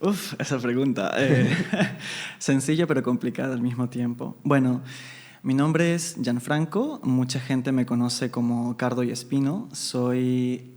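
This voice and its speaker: A man from Italy, a podcast guest, talking in a measured, reflective way and laughing often in casual conversation. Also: mid-range voice, steady tone, a little mellow.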